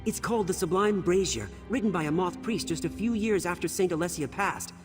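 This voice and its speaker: A man's voice: high-pitched, animated, a little fast, faint music behind. A man playing an anxious, flustered scholarly archivist in a video game, explaining things earnestly. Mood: relieved, earnest. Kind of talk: video game character dialogue.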